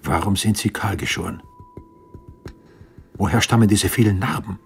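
An actor in a radio drama playing a self-assured eighteenth-century physician, probing and emphatic, with a husky voice. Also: male voice, on the low side, normal speed, faint music behind. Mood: astonished. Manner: insistent, questioning, emphatic.

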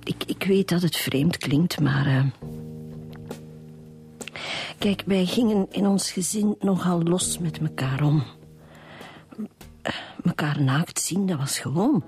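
An actress playing a troubled middle-aged Flemish wife and mother who speaks haltingly in a radio drama. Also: fairly low pitch, darkish tone, lightly acted. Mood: uneasy, confiding. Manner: halting, repeats words.

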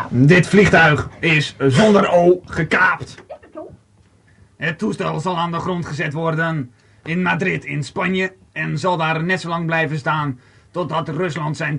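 A man's voice: a little high, gravelly, a bit bright, a little hiss or hum. A man acting a stern hijacker making announcements in an amateur radio play. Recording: amateur home recording with music.

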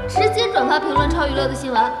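A woman playing an excited, adoring celebrity fan who gushes in an audio drama. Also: high-pitched, fast talker, close to an everyday voice. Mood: gushing. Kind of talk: acted dramatic dialogue. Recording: echoey reverb over loud music.

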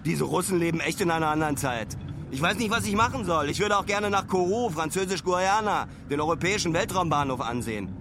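A restless traveller doing a musing audio diary for radio drama, lots of outside noise. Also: male voice, on the high side, lively intonation, fast talker. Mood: restless, excited. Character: restless young male traveller keeping a space-watching audio diary. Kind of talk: radio play diary monologue. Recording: clearly noisy outdoor ambience.

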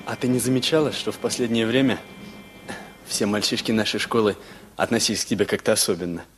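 An actor playing a casual, curious youth just out of school, asking short questions with a husky voice in a radio play. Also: male voice, fairly low pitch, fairly even intonation, fast, faint music behind, close to an everyday voice. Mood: curious, probing.

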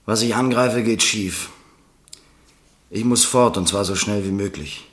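An actor playing a troubled American ex-soldier living on the run under a false name, speaking with urgent self-reproach in a radio drama. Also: male voice, fairly low pitch, unhurried, a bit bright. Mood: remorseful.

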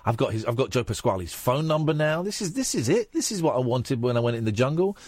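Man, radio phone-in host, deadpan teasing, husky, very dry sound. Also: quick pace, dry recording. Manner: teasing, deadpan jokes, swings from low mock-gloom to high protest. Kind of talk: late-night call-in banter.

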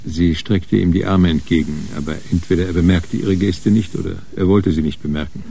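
A man tells a crime story for radio in a sober, measured way. His voice is very deep and creaky, with a dark, mellow tone. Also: fairly even intonation, slight background noise. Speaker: narrator. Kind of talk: scripted narration of a crime radio play.

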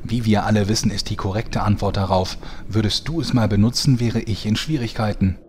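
A man's voice: fairly low pitch, fairly quick, darkish tone, a little hiss or hum, close to an everyday voice. A man playing a mock-serious, formal narrator who commentates a fantasy battle in a parody audio drama. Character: pompous deadpan storyteller commentating a fantasy battle.

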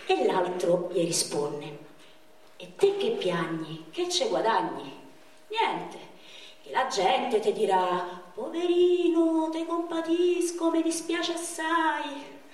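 A woman's voice: high voice, animated, takes it slowly, a little mellow. A woman on stage playing a very skinny, self-mocking young man from Rome, telling jokes in a declamatory, repetitive way with a Roman Italian accent. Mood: wry, reflective. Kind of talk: theatrical stage monologue. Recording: echoey stage recording from far off, noisy.

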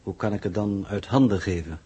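An actor playing a pompous, courtly scientist in a 1960s radio drama, speaking with formal, over-polite manners. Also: male voice, on the low side, fairly quick, warm tone, husky. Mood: suave, condescending. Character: pompous, courtly male scientist, smoothly evasive.

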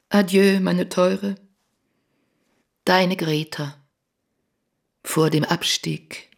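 A world-weary, theatrical woman in a radio drama. Her voice is deeply gravelly and full of creak. She declaims slowly and haltingly. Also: exaggerated voice.

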